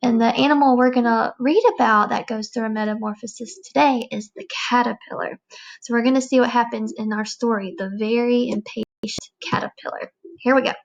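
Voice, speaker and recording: A woman's voice: a little high, fairly even intonation, brisk, gravelly, highs cut off. A woman teacher giving a read-aloud lesson for young children, explaining things emphatically and checking understanding. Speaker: elementary teacher reading aloud.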